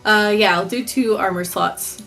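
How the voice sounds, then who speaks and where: A woman's voice: mid-range voice, measured pace, a bit bright. Casual female tabletop player over music. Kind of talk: casual game chat.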